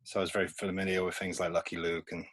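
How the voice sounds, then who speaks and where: Man, 50s, husky low voice, dry close sound, rambling and laughing. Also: flat delivery, fast talker, a bit bright, no echo. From England. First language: Polish. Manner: rambling, laughs often between thoughts. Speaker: comics artist guest. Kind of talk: casual remote podcast interview.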